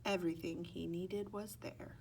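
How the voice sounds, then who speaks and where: A woman's voice: lowish voice, fairly even intonation, moderate pace, darkish tone, slight background noise. A woman narrating a children's picture book expressively, stretching words and acting out sound effects. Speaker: storybook reader.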